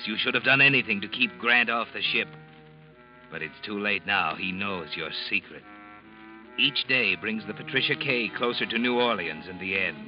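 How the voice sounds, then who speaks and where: An actor playing an ominous, knowing narrator, telling a suspense story in the second person with an insinuating manner, as in old-time radio. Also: male voice, on the low side, lightly acted. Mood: ominous, suspenseful. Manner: insinuating, dramatic. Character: ominous, knowing male narrator taunting the guilty protagonist. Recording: muffled vintage radio recording with music. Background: dramatic music.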